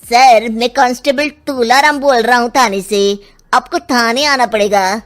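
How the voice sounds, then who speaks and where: A woman voices a dutiful police constable in a storybook drama. The voice is rather high, formal and urgent, with music behind it. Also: measured pace, close to an everyday voice. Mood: official.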